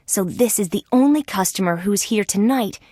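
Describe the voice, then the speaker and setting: A woman playing a young, wry, determined female insect police detective in a scripted adventure video game, with conversational, clearly spoken patter. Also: medium pitch, lively intonation, normal speed, mellow, dry recording, studio quality, lightly acted. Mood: musing.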